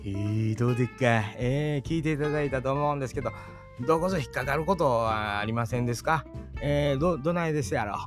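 A man's voice: mid-range voice, quick pace. Chatty Kansai man over guitar music. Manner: chatty, rambling, lively. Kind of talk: casual monologue.

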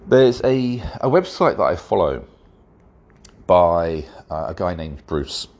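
A man hosting his own podcast, congested and stuffy-nosed from hay fever, talking in a wry, measured way and a bit slowly. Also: sits low, very animated, a little mellow. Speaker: podcast host. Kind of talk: solo podcast commentary.

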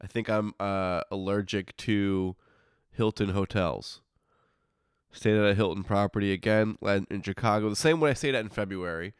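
A man's voice: lowish voice, moderate pace, clear, resonant tone, no room sound, studio quality. A man with a stuffy, congested nose, hosting a casual solo podcast in an informal, grumbling, self-interrupting way. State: congested sinuses. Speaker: podcast host.

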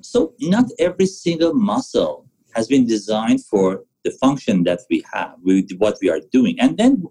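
A man in his forties from Iran, a physician answering interview questions in halting, with frequent restarts. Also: on the low side, wildly swooping pitch, warm tone, low-quality recording. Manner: halting, restarts often, non-native phrasing. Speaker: physician guest.

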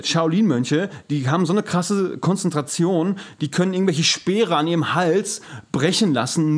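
A man's voice: on the high side, breathy edge. A man co-hosting a podcast in casual chat, earnest and slangy, often searching for his words. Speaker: podcast co-host.

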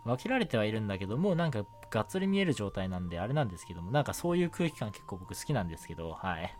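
A man's voice: lowish voice, very animated, very fast, a little mellow, a little hiss or hum. A young man hosting a casual solo radio chat in a rambling, giggly, self-deprecating way. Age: young adult. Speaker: radio show host. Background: background music.

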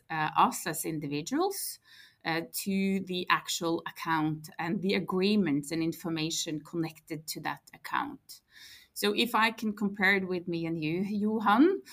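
A woman's voice: fairly low pitch, fairly bright tone. A woman in her forties with a Norwegian accent, explaining her work as an interview guest and repeating words as she thinks. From Norway. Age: 40s. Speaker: guest, head of core banking. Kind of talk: interview answers.

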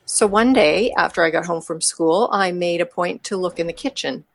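Woman acting a low-pitched, mischievous eccentric. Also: moderate pace, creaky. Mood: wry, storytelling. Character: eccentric, mischievous, sociable woman confiding in a new friend. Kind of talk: amateur audio drama dialogue.

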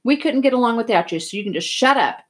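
A woman's voice: a little high, animated, quick pace, slightly rough, pitched above the performer's own voice. A woman voicing a tough, loyal, wisecracking teenage boy in a storybook, with blunt slangy speech. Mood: fierce, scolding.

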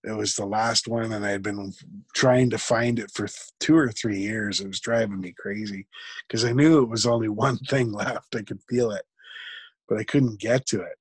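A man with a fairly deep voice talks as an interview guest. He speaks reflectively and haltingly, often restarting his sentences. His voice is recorded close and dry, with little room sound. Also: steady tone, breathy edge, no echo. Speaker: podcast guest. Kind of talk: conversational interview.

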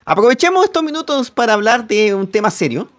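A man's voice: sits high, very animated, fast, fairly bright tone. A man. He chats casually about the match as one of a group of football commentators. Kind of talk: casual live football chat.

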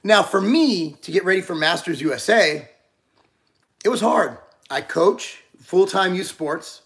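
A man's voice: sits high, lively intonation, unhurried, gravelly, a bit bright. A man in his forties co-hosting a casual talk show, rambling with frequent restarts. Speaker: podcast co-host. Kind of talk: casual chat.

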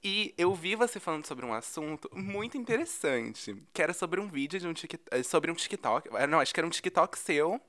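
A man's voice: a little high, animated, a little fast, hardly any pauses, a bit bright. A man with a Brazilian accent hosting a podcast in a casual, chatty way, effusive and slangy, given to playful exaggeration. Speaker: podcast host.

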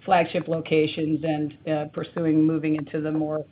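A woman executive answering analyst questions on an earnings call, measured, with frequent ums and restarts. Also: deep voice, completely flat delivery, slight background noise. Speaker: company executive. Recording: tinny phone line.

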